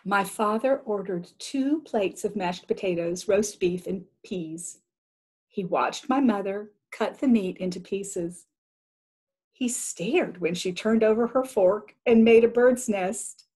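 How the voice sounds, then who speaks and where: A woman reading a children's picture book aloud as an expressive storyteller. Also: medium pitch, takes it slowly, breathy edge.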